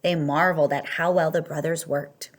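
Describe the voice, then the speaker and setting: Lady with a lowish voice doing a kids storytime read aloud, expressive, draws out words. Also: moderate pace. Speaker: storyteller reading a children's picture book aloud.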